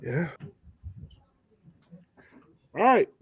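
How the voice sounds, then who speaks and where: A man's voice: high voice, very animated, very slow, breathy edge, dry recording. A man hosting a podcast, chatting casually about sport in an informal way. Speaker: podcast host.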